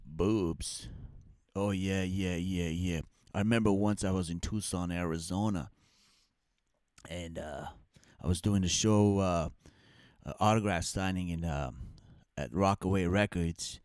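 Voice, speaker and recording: Low-voiced man with an Armenian accent. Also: measured pace, a little mellow, clean tone, dry recording, clean studio sound. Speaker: comic and podcast co-host. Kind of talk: casual comedic banter.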